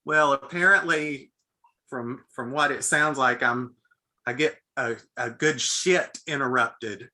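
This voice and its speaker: A man's voice: medium pitch, takes it slowly, pushed and bright. A man chatting casually on a video call, with a self-deprecating, easygoing way of talking. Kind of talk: casual chat.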